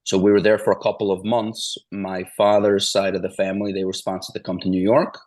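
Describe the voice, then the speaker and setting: Forties male guest from Ukraine; deep, even delivery with little movement, meandering with restarts. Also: fairly quick, no room sound. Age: 40s. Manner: meandering, restarts, repeats "the bottom line is". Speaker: interview guest. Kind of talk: casual interview storytelling.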